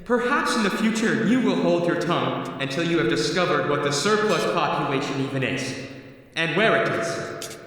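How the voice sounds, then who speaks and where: A man's voice: a little high, animated, moderate pace, a bit bright. A man voicing a stern, aging Christmas spirit in a radio play, solemn and declamatory. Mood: scolding. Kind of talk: old-time radio play acting. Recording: very echoey, long hall reverb.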